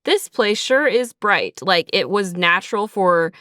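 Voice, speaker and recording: A woman's voice: mid-range voice, animated, measured pace, pushed and bright, dry recording, clean studio sound. A woman speaking casually in an interview as a writer, chatty and informal, peppering her talk with "like" and "sort of". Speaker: audio drama writer being interviewed. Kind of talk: casual behind-the-scenes interview.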